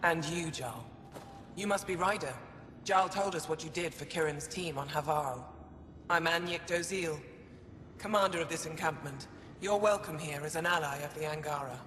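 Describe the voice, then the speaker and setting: An actor playing a weary, steadfast alien resistance commander in a video game, speaking in a measured, formal, earnest way. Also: male voice, fairly high pitch, pushed and bright. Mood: formal, welcoming. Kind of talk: dramatic game dialogue. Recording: reverberant with background ambient noise.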